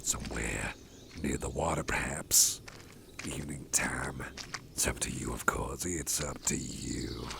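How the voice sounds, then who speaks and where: A man with a very deep, gravelly voice whispers a gentle ASMR roleplay, playing a caring boss who carries the listener home. He speaks slowly and soothingly, mostly in a breathy hush. Loud outdoor nature sounds with birds fill the background. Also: background noise. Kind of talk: soft-spoken ASMR roleplay. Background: rural outdoor sounds with birds.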